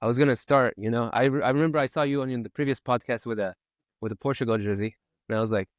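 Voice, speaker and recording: A man hosting a podcast, asking questions in a halting way, often restarting and repeating words. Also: mid-range voice, dry recording, studio quality. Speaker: podcast host. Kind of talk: casual podcast interview.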